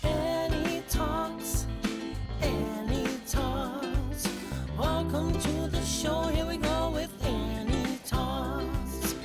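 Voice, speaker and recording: A woman's voice: sits high, extremely slow. A woman singing a repetitive show jingle. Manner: sung, repetitive. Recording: singing over loud backing music.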